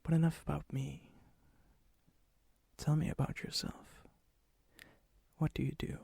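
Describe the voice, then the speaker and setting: A man playing a charming, ancient vampire and hopeless romantic in a soft-spoken, often whispered ASMR roleplay, slow, intimate and courteous, with a breathy, husky voice. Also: mid-range voice, dry recording, exaggerated voice. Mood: curious, gentle. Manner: slow, intimate, teasing, old-fashioned courtesy. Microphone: close mic, dry sound.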